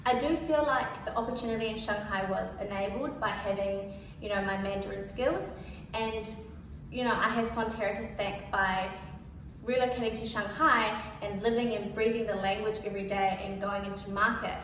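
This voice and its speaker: A young woman with a New Zealand accent who grew up speaking Cantonese talks through her story in a relaxed, chatty way, full of "like" and "you know". Her voice sits at an ordinary middle pitch. It comes from some distance, in a strongly reverberant space with clear background noise. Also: moderate pace. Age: young adult. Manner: casual and chatty, with many "like" and "you know" fillers. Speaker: featured speaker. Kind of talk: personal testimonial.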